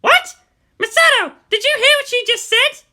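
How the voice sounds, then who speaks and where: A woman voicing a fiery, indignant, bratty teenage girl who talks in loud, huffy accusations in a story reading. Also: extremely high pitch, normal speed, voice pushed up, full character voice. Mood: huffy, outraged.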